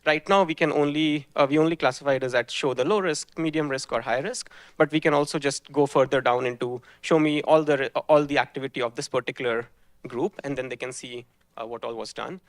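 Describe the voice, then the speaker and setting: A man's voice: medium pitch, fairly even intonation, brisk, dry recording. A man presenting his team's hackathon project, explaining the technical pipeline step by step and often restarting his sentences.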